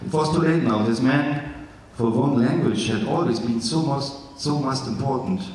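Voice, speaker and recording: A man from East Germany with a German accent, reading from his memoir in careful, slightly halting English with jokey asides. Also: mid-range voice, darkish tone, slight background noise. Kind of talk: live literary reading. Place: large event hall. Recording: echoey hall from far off.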